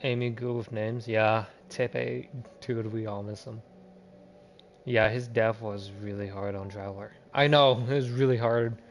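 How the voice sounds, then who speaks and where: A man's voice: on the low side, fairly even intonation, measured pace, a little hiss or hum. A man livestreaming a game, chatting informally with viewers and often restarting or repeating his words. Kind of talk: casual livestream chat and game commentary.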